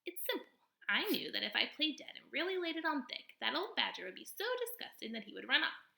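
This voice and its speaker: Woman voicing a theatrical, high-pitched chatty possum. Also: sing-song, brisk, gravelly, sharp tone, heavily acted. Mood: boastful, theatrical. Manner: dramatic, gushing, boastful. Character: theatrical, chatty female possum, proud performer, easily startled. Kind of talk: storybook character read-aloud.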